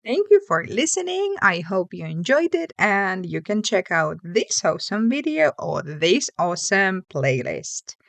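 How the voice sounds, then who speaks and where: A woman hosting and reading online stories aloud in an expressive, playful way with casual asides. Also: medium pitch, measured pace, creaky, hardly any pauses, no room sound.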